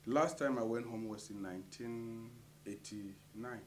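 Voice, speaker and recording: A middle-aged man from Cameroon, a community association treasurer, answering interview questions with many hesitant pauses. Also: medium pitch, slight background noise.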